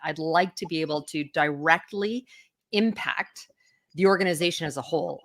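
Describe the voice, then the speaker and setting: A woman's voice: deep voice, fairly bright tone. A middle-aged woman executive speaking as an interview guest, candid and self-assured, with frequent fillers. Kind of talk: conversational interview.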